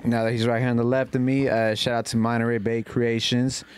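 A man's voice: mid-range voice, little pitch movement, a little fast, smooth. A young man hosting a casual podcast, swearing freely and repeating his slangy phrases. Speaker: podcast host. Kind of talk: casual chat. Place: small room with couches, indoors. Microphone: handheld mic.

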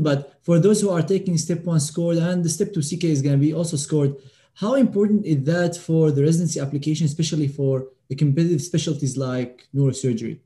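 A man's voice: a little high, mellow. A young man hosting a channel, asking brief friendly questions over a video call. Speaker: channel host. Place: home room with bookshelf, indoors. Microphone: video call webcam mic.

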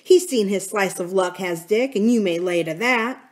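A woman's voice: medium pitch, moderate pace, a little mellow, close to an everyday voice. A woman voicing a cunning, scornful male pirate captain and sea cook in a storybook, with sardonic, folksy sailor talk. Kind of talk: dramatic storybook character reading.

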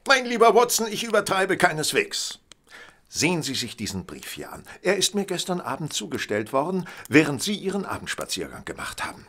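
A vain gentleman detective in an audio drama. His husky voice swings dramatically up and down. He sounds sardonic and superior, with formal, theatrical articulation. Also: on the high side, wildly swooping pitch, a little fast, exaggerated voice. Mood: dry irony. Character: famous detective, vain, bored, ironic gentleman. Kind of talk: German audio drama acting.

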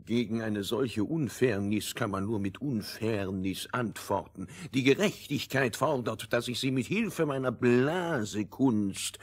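Formal, over-polite companion, fairy-tale radio play; mid-pitched, slow, measured. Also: male voice, breathy edge. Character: courteous, formal companion with magical blowing power.

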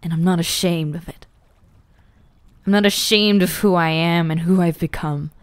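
A woman playing a gentle, grieving but resolute young ghoul girl in a roleplay audio drama, speaking softly and earnestly with pauses and whispers. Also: lowish voice, steady tone, clear, resonant tone, natural voice. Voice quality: soft, often breaking into whispers. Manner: slow, emotional, earnest, with pauses.

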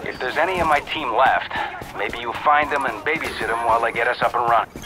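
A man playing a gruff tech officer, giving mission briefings in a dry, sardonic way. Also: mid-range voice, fast, over music, lightly acted, low-quality recording.

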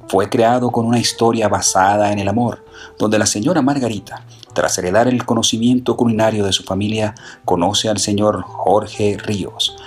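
A man narrating a short documentary in an even, read-aloud manner. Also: on the low side. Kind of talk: scripted narration.

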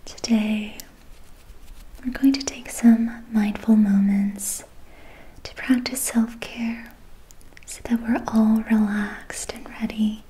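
A young adult woman guiding a relaxation in a soft, breathy ASMR whisper, soothing, gentle and unhurried. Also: fairly high pitch, little pitch movement, low-quality recording. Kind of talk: whispered ASMR guided meditation. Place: small dim quiet room indoors.

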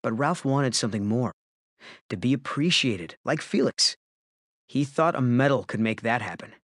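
A man narrating a children's story from a script, with expressive storytelling emphasis. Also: medium pitch, moderate pace, no room sound, clean studio sound.

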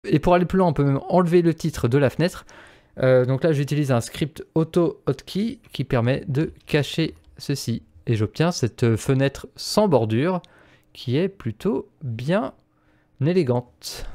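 A man's voice: fairly high pitch, expressive, unhurried, warm tone. A man presenting an instructional screencast as a voice-over. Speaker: tutorial presenter.

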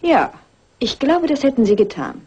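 An actress playing a cool, willful wife in a crime radio play, teasing and self-assured. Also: female voice, sits high, sing-song, normal speed, darkish tone, highs cut off, a little hiss or hum. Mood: accusing.

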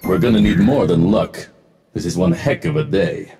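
A man's voice: low voice, expressive, normal speed, background noise. A man voicing a smug, wisecracking futuristic mech-suit warrior for a video game, in punchy, boastful lines. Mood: smug, taunting. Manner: boastful, punchy, clipped callouts. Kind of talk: video game voice pack barks.

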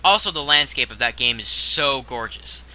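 Bright, animated young man hosting a countdown. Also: mid-range voice, normal speed, rough recording. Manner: conversational, addresses the viewer with rhetorical questions. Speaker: countdown video host. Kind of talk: scripted top-ten list narration.

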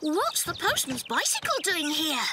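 An actor voicing a small, curious, excitable young male cat with a childlike voice in a children's cartoon. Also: very noisy. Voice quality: childlike, high. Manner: eager, inquisitive, drawn-out exclamations. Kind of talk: animated children's cartoon dub. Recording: music behind the voice.

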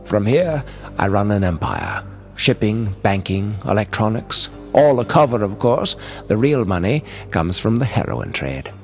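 A man's voice: on the low side, very animated, a little slow, soft background music. A man playing a menacing, urbane crime lord in a comic-book audio drama, speaking with suave, mock-polite formality. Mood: suave menace.

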